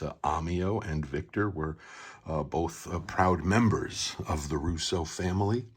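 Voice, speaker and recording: A middle-aged man with a gravelly, husky voice rambles casually and haltingly as he hosts a show-and-tell vlog, letting phrases trail off. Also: a bass voice. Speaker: YouTube channel host showing a card collection.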